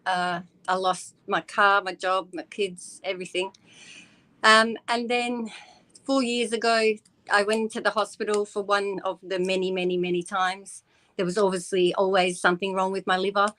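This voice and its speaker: Brief: woman, monotone delivery, hesitant, video call. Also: mid-range voice. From Scotland. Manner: halting, many pauses and uhs. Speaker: guest.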